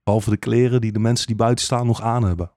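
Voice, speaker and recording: A man's voice: mid-range voice, fairly even intonation, fast, a little mellow, dry recording, studio quality. A man narrating a scripted satirical fairytale radio play in a sardonic, rhetorical manner.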